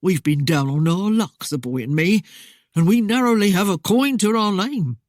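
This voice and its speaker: A man's voice: high voice, lively intonation, moderate pace, no room sound, studio quality, voice pushed up. A man voicing a greedy, cowardly middle-aged mayor with a whining, coarse manner. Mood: wheedling. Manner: whining, wheedling, coarse dialect speech. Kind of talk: audiobook character voice.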